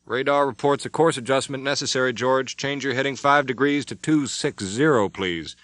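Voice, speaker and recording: A man's voice: mid-range voice, moderate pace, a bit bright, no echo, pitched above the performer's own voice, natural voice. A man voicing a commanding airline captain who gives step-by-step radio instructions. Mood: coaching. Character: middle-aged airline captain, firm and commanding. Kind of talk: audiobook character voice.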